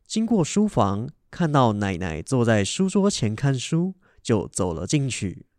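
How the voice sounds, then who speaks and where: Young taiwanese guy narrating a radio play, lively intonation, dry close sound. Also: medium pitch, a little slow, no echo. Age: young adult. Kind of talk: scripted radio drama narration.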